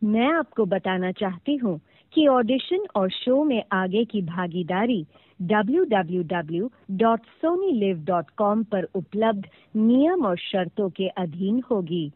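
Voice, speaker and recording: A woman's voice: medium pitch, expressive, a little slow, no room sound. A woman reading a formal, scripted instructional announcement on a recorded phone call. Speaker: automated phone line announcer. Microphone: phone line.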